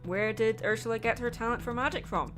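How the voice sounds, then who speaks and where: Higher-pitched woman narrating commentary over music. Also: brisk. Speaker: video essay host. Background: background music.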